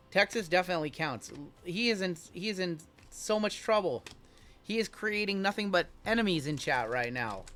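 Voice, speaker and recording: A man's voice: high voice, fairly bright tone. A man hosting a live card break, chatting casually and reading the chat aloud with a joking manner. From Iowa. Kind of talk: casual live-stream chat while opening cards.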